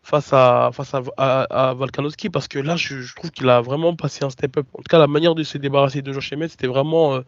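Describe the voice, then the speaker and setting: A man's voice: mid-range voice, fairly even intonation, brisk, few pauses, no room sound. A French man co-hosting a casual podcast, talking hesitantly with many restarts. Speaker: podcast co-host. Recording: dry, dull lo-fi sound.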